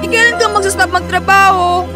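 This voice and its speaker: A woman's voice: very high voice, fairly even intonation, fairly quick, music in the background. A woman playing a childish, clingy young wife with a whiny, cutesy way of talking and a Filipino accent, in a radio drama. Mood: pouty. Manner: cutesy baby talk, whiny.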